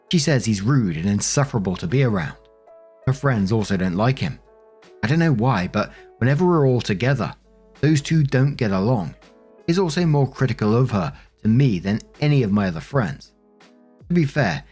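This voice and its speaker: A man's voice: lowish voice, a little mellow, breathy edge. A man narrating online stories and commenting on them in a conversational, opinionated way. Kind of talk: reading stories aloud with casual commentary.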